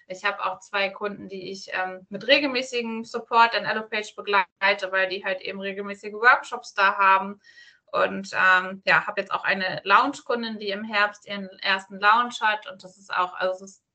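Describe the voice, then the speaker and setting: A woman from northern Germany speaks as an interview guest. Her voice is bright and clear-cut at a middling pitch, and she talks casually in long, chatty, loosely linked sentences. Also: fairly even intonation, normal speed. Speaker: podcast guest, self-employed virtual assistant. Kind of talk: casual conversational interview answers.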